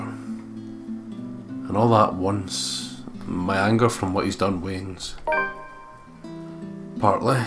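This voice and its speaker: Male let's play host, lowish voice, story reading and casual remarks, game guitar music behind. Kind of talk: reading game narration aloud with casual commentary.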